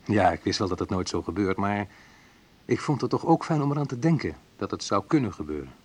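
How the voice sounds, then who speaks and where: An actor playing a wistful man recounting his youth in a reflective, measured radio play narration, with a slightly husky voice. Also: fairly low pitch, lively intonation, darkish tone, a little hiss or hum, lightly acted. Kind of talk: literary first-person radio play narration.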